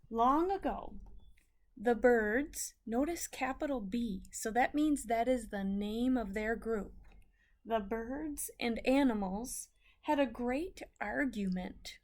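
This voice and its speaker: A woman teacher reading a story aloud to children, explaining it deliberately as she goes. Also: a little high, darkish tone.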